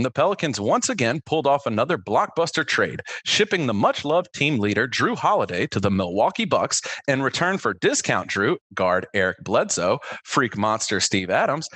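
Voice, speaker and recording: A man's voice: medium pitch, a bit bright, slightly rough, no room sound. A man hosting a radio sports segment, reading scripted satirical headlines in a mock-enthusiastic announcer delivery.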